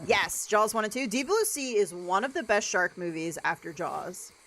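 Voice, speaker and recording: A young adult woman with a deeper voice, livestreaming a game and chatting casually with lots of "like" and "um". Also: animated, normal speed, a bit bright. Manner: rambling, chatty, many "like" and "um" fillers. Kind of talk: casual chat while gaming.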